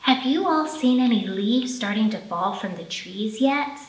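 A woman in her thirties leading a storytime for young children, speaking in simple, expressive phrases with plenty of questions. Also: on the high side, a little slow. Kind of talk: storytime teaching, singing and picture-book reading for young children. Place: indoor library storytime room. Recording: roomy echo, faint music.

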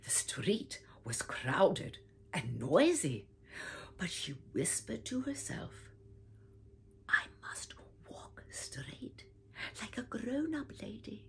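An older woman reading a children's picture book aloud with theatrical whispers and swooping pitch, talking warmly to young listeners as "my dears". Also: huge pitch swings, slow pace. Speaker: storybook reader. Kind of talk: expressive read-aloud for children. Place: home room with bookshelf, indoors.

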